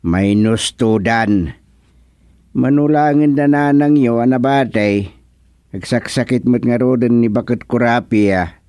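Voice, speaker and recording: Guy playing a gossipy older ilocano village husband in a radio comedy, slow talker, dry studio sound. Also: mid-range voice, a little mellow, smooth, no room sound, natural voice. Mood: blunt.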